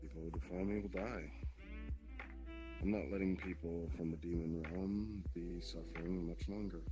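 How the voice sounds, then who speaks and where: Man playing a deep-voiced imperious demon king. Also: steady tone, normal speed, natural voice. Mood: menacing. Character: imperious ancient male demon king, returned, coldly threatening. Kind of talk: improvised online roleplay. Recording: music behind the voice.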